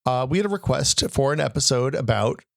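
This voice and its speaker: A slightly hoarse man co-hosting a tech podcast, musing aloud in halting questions full of restarts. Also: medium pitch, lively intonation, a bit bright, no room sound, studio quality. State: a little hoarse. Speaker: podcast co-host. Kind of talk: casual tech chat.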